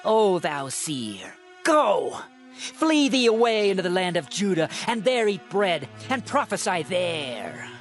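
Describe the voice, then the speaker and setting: An actor playing a haughty priest in a dramatized scripture reading, declaiming in an accusing and scornful way. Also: male voice, sits high, big pitch swings, takes it slowly, a bit bright, faint music behind, full character voice. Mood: scornful, commanding. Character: haughty male priest of Bethel, accusing and dismissive.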